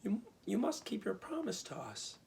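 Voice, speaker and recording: A man's voice: sits high, wildly swooping pitch, normal speed, creaky, darkish tone, a little hiss or hum, voice pushed up, exaggerated voice. A man voicing an earnest, indignant young girl from a storybook, exclaiming and insistent.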